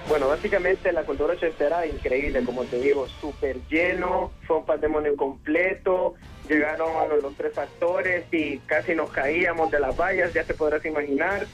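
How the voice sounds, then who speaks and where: A man's voice: on the high side, fairly even intonation, moderate pace, faint music behind. A man from Honduras speaking as a correspondent on a film show, giving a casual, conversational report.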